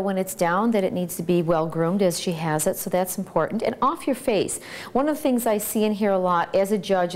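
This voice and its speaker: A middle-aged woman, a pageant coach and judge, giving measured, encouraging advice in an instructional video. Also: on the low side, a little mellow.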